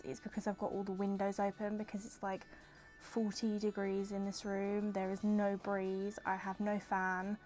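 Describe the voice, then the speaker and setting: A young woman with a stuffy, congested voice talks through her beauty favourites in a loose, rambling way, full of "like" and "kind of". Her pitch sits in an ordinary middle range. Soft music plays faintly underneath. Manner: chatty and rambling, lots of "like" and "kind of". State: congested from hay fever. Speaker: beauty vlogger. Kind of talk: casual chatty product review. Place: hot indoor room with windows open.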